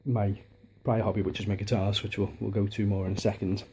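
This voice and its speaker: A man in his thirties from Liverpool, chatting casually on a home vlog with a softened Liverpool accent, rambling with many restarts and ums, filmed on a handheld camera in his dining room. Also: on the low side, flat delivery, a little fast, a little hiss or hum. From Liverpool, England. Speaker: hobbyist vlogger. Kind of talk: casual home video chat.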